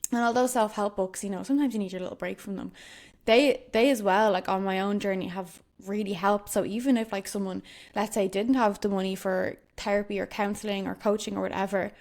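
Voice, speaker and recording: Soft-spoken woman host. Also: mid-range voice, fairly even intonation, brisk. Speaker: podcast host. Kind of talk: casual interview chat.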